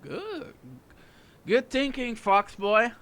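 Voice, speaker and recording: A player giving casual gameplay commentary, reading and reacting aloud in halting short bursts. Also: medium pitch, animated. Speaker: gaming video creator playing a story quest. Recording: loud game music behind voice. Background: loud game music.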